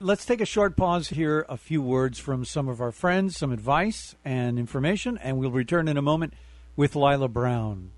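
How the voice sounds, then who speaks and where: A man's voice: mid-range voice, expressive, normal speed, dry recording. A man hosting a community radio interview, a conductor who talks in long reflective asides. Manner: rambling, reflective, warm asides.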